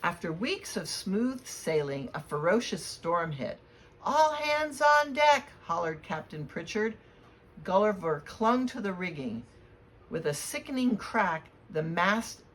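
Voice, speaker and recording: A woman reading a storybook aloud to children in a deliberate, expressive way. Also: lowish voice, poor audio. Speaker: children's read-aloud reader at a library. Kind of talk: storybook read-aloud with spoken introduction. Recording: clear background noise.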